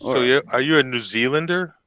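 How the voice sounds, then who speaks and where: A man chats on a voice call in a teasing, upbeat way. His tone is bright and forceful. The sound is dry and close. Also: medium pitch, fairly quick, clean tone, no room sound. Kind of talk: casual group chat.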